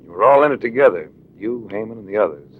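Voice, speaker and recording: An actor playing a shrewd, self-assured adventurer-investigator with a dry, needling manner in a late-1940s radio drama. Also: male voice, on the low side, expressive, quick pace, narrow, tinny audio, close to an everyday voice. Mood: cool accusing. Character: shrewd, sardonic male adventurer-investigator accusing suspects. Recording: noisy vintage radio recording.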